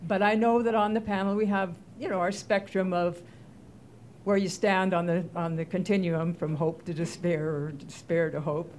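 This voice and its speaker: Older woman, lowish voice, halting moderator, handheld mic, faint room noise. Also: little pitch movement, a little mellow. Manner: halting, many fillers and restarts. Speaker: panel moderator. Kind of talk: hesitant spoken question to a panel. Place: conference room, seated at panel table.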